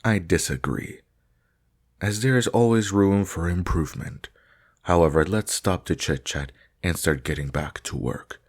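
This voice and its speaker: A man's voice: low voice, unhurried. A man playing a stern, haughty vampire combat master in a roleplay audio drama, formal, blunt and commanding. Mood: brisk, strict.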